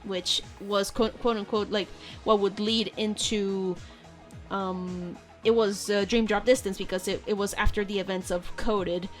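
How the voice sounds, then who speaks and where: A woman chatting casually as a podcast guest, a video editor who talks in long, animated, rambling stretches. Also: mid-range voice, unhurried, faint music behind.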